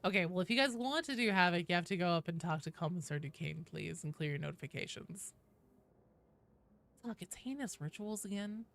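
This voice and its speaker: A woman's voice: on the low side, lively intonation, fairly quick, gravelly, fairly bright tone. A woman hosting a game livestream, chatting casually and informally with viewers, swearing freely. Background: faint video game sounds.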